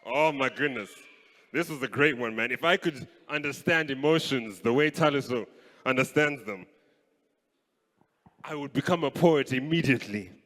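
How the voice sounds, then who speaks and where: A young man from Kenya doing live stand-up comedy on a stage mic, playful and animated. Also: medium pitch, moderate pace. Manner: animated, playful, switches voices. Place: stage in a large hall. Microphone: handheld stage mic. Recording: live hall with long reverb.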